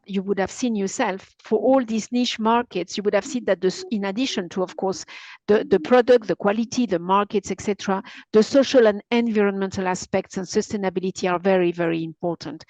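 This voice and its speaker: A woman's voice: medium pitch, darkish tone, dry recording, rough recording. A woman moderating a webinar session, speaking politely and hesitantly with many fillers.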